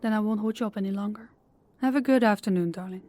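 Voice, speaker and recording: A woman playing a poised, controlling female boss in an audio roleplay, speaking formally and with measured, condescending sweetness. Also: mid-range voice, mellow, lightly acted. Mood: coolly reassuring. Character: poised, controlling female boss with veiled menace, affectionate toward employee.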